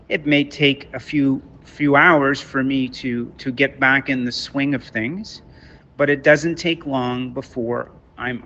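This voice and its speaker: A man whose first language is French, from Canada, giving a talk to parents as a teacher, halting with many restarts. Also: mid-range voice, bright, forceful tone, dull audio, slight background noise. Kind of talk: online lecture to parents. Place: Toronto.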